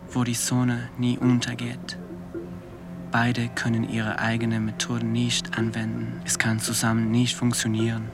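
A man reciting a scripted radio-play monologue in measured, declarative statements. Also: medium pitch, vocal fry.

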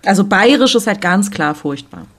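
A woman's voice: medium pitch, expressive, normal speed. A woman with a Berlin accent hosts a podcast in a loose, joking way. She is ironic and laughs a lot as she talks. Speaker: podcast host. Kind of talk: casual chat.

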